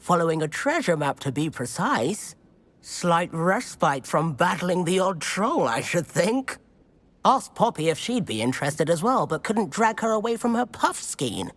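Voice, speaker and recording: A woman's voice: on the low side, sing-song, unhurried. A woman playing an eager, bookish teenage witch schoolgirl in a video game, with a British accent and a chirpy, well-spoken, inquisitive manner. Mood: friendly, eager. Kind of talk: video game cutscene acting.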